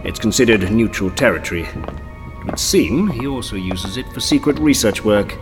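An actor playing a terse, irritable secret agent in an audio drama, brisk and dry. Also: male voice, fairly low pitch, expressive, natural voice. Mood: businesslike. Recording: long echoing reverb, music underneath.

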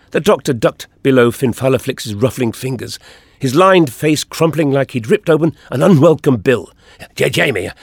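A man reading an audiobook excerpt expressively, with lively voices for the characters in dialogue. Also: mid-range voice, breathy edge, no echo, clean studio sound. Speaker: audiobook reader.

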